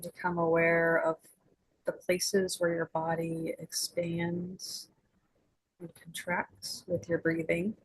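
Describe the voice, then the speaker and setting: A woman guides a meditation at a very slow, unhurried pace, leaving long pauses between gentle invitations. Her voice sits a little low and has a distinctly husky, breathy grain. The delivery is soothing throughout. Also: monotone, low-quality recording.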